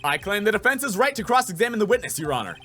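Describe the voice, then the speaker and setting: A man's voice: sits high, big pitch swings, quick pace, bright, forceful tone, faint music behind, voice pushed up, full character voice. A man voicing an earnest young defense lawyer in a video game, speaking emphatically. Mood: determined.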